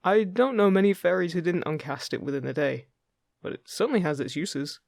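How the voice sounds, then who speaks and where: A man playing a friendly, upbeat fairy guide in an intimate fantasy roleplay, chatty, gentle and encouraging with the listener. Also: high-pitched, a little fast, vocal fry, no echo, clean studio sound. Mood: playful, instructive. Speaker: voice actor in an audio roleplay.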